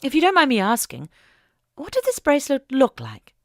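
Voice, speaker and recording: A woman's voice: sits high, wildly swooping pitch, moderate pace, no echo, studio quality, pitched above the performer's own voice, exaggerated voice. Lady reader as a steely young woman detective, stern n probing but polite, voice jumps up and down, audiobook. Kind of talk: audiobook character acting.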